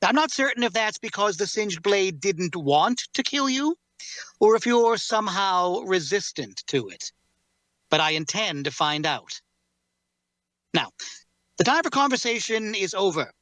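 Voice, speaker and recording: A man playing a solemn, learned warrior who bears a cursed sword, speaking in a measured, formal way in a fantasy drama reading. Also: sits high, lively intonation, sharp tone, no echo, full character voice. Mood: grave, intent, then firm. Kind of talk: dramatic fantasy table read.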